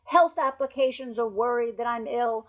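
A hoarse woman who has lost her voice, speaking emphatically as a researcher guest on a video call interview, through earbuds. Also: on the high side, normal speed, muffled recording. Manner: emphatic, repeats points for stress. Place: bright room at home by a window. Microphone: wired earbuds on a video call.

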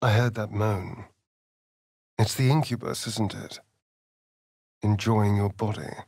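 An actor voicing a vain, sardonic vampire elf rogue in a video game, with an arch, drawling, theatrical delivery. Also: male voice, lowish voice, steady tone, slow pace, creaky, darkish tone, husky, no echo, studio quality, full character voice. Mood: teasing, sly. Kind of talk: video game character voice lines.

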